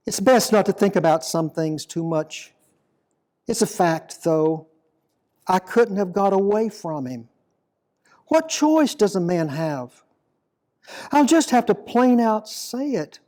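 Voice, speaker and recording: A man reading a dramatic monologue poem as an aging, plain-spoken fisherman apostle, deliberate, reflective and full of guilt and devotion. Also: sits high, warm tone. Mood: resigned, devoted. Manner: slow, deliberate, reflective storytelling.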